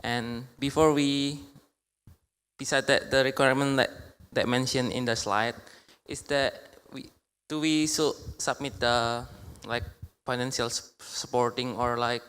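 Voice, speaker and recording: Man, flat smooth voice, halting question from the audience, long hall reverb. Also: mid-range voice. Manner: halting, searching for words. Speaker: audience member asking a question. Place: lecture hall.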